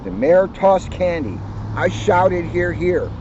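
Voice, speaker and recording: A male police officer reads a children's picture book outdoors, his voice a little high but mellow and soft-edged. His storytelling livens up on the quoted lines. The recording is dull and lo-fi with a faint hum of traffic behind. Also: lively intonation, slow pace. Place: outdoors on a hill at a historic house.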